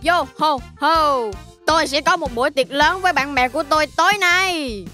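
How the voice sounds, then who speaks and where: A woman's voice: sits high, moderate pace, bright, forceful tone, faint music behind. A woman voicing a mischievous, gloating pirate monkey in a children's cartoon, swaggering and gleeful. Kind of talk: children's cartoon villain acting.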